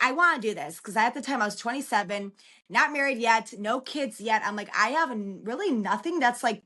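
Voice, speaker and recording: Podcast guest: woman, 30s, from Chicago, high-ish voice, chatty and upbeat with filler words. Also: a bit bright. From Chicago, United States. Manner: chatty, lots of "like" fillers, encouraging. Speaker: podcast guest, relocation consultant. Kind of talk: casual interview talk.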